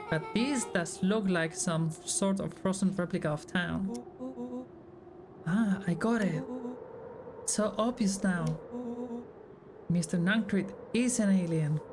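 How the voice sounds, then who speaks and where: A woman streamer reading visual novel dialogue aloud in character. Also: mid-range voice. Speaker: streamer voicing game dialogue. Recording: game music behind the voice. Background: game music.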